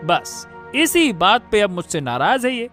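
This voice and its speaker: A man's voice: sits high, big pitch swings, unhurried, fairly bright tone, faint music behind, full character voice. A man voicing a troubled, apologetic village father in a cartoon story, coaxing and explaining.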